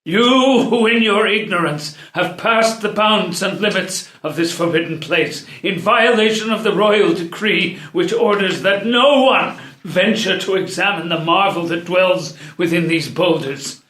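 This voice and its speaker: A man's voice: high voice, unhurried, hardly any pauses, pushed and bright. A man playing a stern older jailer torn by duty, declaiming grandly in a play read-through. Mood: commanding. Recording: roomy, echoey sound.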